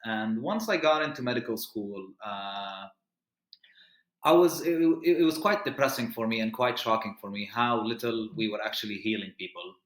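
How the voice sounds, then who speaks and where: A man's voice: lowish voice, lively intonation, normal speed, a bit bright. A man who grew up in the Middle East talks as a physician guest on a podcast. He explains things earnestly and steadily, often stopping to restart a phrase. The room around his voice has a noticeable echo. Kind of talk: podcast interview.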